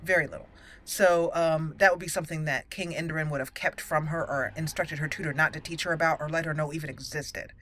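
A woman hosting a roleplay let's play, musing deliberately and slipping into her character's dialogue. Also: deep voice, steady tone, a bit bright. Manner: musing, deliberate, thinking aloud. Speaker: gaming channel host roleplaying a character.

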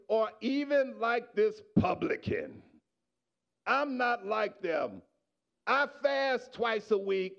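Middle-aged male pastor mid-sermon, raspy edge, muffled lo-fi church recording, shouts then drops low. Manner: preaching with rising shouts and quiet asides to the congregation. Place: church sanctuary. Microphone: handheld microphone.

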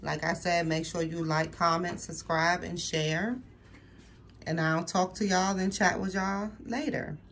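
Chatty woman, fairly low voice. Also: fairly even intonation, a little slow, fairly bright tone, slight background noise. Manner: chatty, folksy, talks straight to viewers as y'all. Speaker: home cooking vlogger. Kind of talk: casual cooking video chat to viewers. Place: home kitchen.

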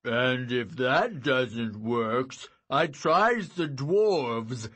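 A man voicing a gentle, simple-minded giant who is glum and apologetic, speaking in laboured, broken grammar in an audiobook story. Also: medium pitch, lively intonation, husky, full character voice. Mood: glum, earnest. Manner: drawn-out, laboured delivery with quaint broken grammar.

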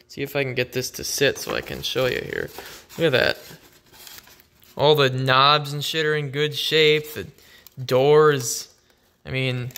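Bright-voiced man, casual and rambling. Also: mid-range voice, expressive, a little slow, pauses often. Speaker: vlogger. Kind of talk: casual unscripted unboxing commentary.

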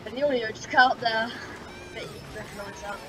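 A woman's voice: fairly high pitch, fairly quick. A woman hosting a racing game playthrough with a slightly scratchy voice from a sore throat, chatting casually and exclaiming while she concentrates. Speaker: gaming channel host. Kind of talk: casual gameplay commentary. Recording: loud game music underneath. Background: game music and engine sounds.

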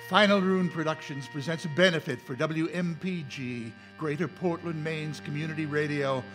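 A man hosting in the style of old-time radio horror, announcing in a theatrical, mock-ominous manner. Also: fairly high pitch. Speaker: show host, announcer. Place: lecture hall stage before live audience. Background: music.

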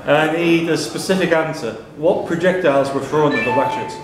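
A man's voice: fairly high pitch, normal speed. A man acting as question master, reading out quiz questions and ruling on answers in a pub room. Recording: roomy pub recording, chatter around. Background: people talking in the pub.

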